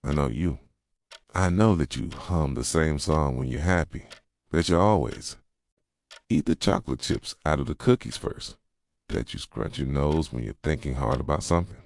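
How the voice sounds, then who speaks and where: Man acting a very deep-voiced, possessive yandere boyfriend. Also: lively intonation, moderate pace. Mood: insistent, possessive. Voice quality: soft, low, breathy at times. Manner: intimate, insistent, pleading and accusing. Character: possessive, obsessive yandere boyfriend, hurt and manipulative. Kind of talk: ASMR roleplay monologue. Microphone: close microphone, dry sound.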